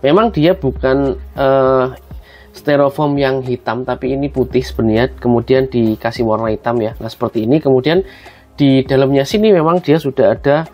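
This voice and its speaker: Male tutorial host, Javanese-accented Indonesian, medium pitch, casual explanatory delivery, faint music bed. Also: moderate pace, a little mellow. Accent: Javanese-region Indonesian. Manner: explanatory, often addressing viewers as friends. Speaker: product reviewer and vlogger. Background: background music.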